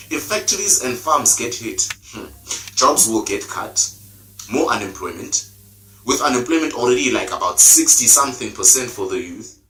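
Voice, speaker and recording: A man from South Africa gives impassioned commentary straight to his audience. His voice is bright and forceful, and it climbs sharply on every key point. The sound is roomy and echoing. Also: on the low side. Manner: emphatic, rising, declamatory. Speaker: political commentator in a clip.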